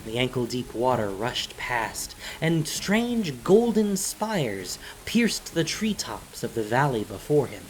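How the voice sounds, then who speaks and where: A man narrating a fantasy story as scripted audio drama, in a dramatic, deliberate storytelling manner. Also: mid-range voice, very animated, a little hiss or hum, poor audio. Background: music.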